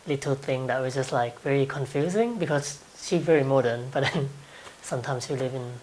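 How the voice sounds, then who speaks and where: A man's voice: mid-range voice, a little fast, darkish tone, slight background noise, poor audio. A man in his twenties from Thailand, speaking with a Thai accent and answering interview questions hesitantly, with frequent ums and light laughs.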